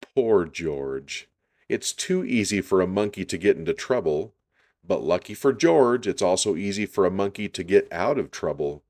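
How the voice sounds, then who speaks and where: A man reading a children's story aloud in an unhurried, deliberate storytelling style. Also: low-pitched, expressive, a little mellow.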